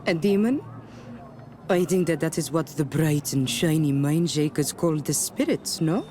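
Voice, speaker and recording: An actress playing a playful, cryptic native clan woman in a video game, proud and teasing, with measured, stilted phrasing. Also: deep voice, expressive, warm tone. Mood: mysterious. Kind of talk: video game character acting. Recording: music under the dialogue.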